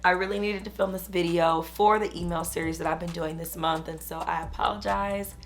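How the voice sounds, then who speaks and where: Hoarse woman, 30s, business coach; rough, gravelly, lowish voice, upbeat and chatty. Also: steady tone, a little fast, faint music behind. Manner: chatty, upbeat, uses "y'all". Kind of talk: casual talk to camera.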